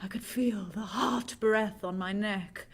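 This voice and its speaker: A woman's voice: mid-range voice, slow, husky. An actress playing a frightened young Victorian woman, telling her tale dramatically in a vintage radio drama. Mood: entranced dread.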